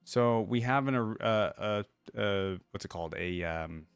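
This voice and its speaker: A man hosting a reaction video, reading text aloud and thinking out loud in casual asides between passages. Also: fairly low pitch, measured pace, smooth, no room sound, soft background music.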